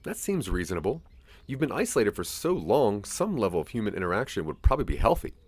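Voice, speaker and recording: A man's voice: on the low side, big pitch swings, quick pace, slightly rough. A man giving a scripted second-person narration for an audio drama.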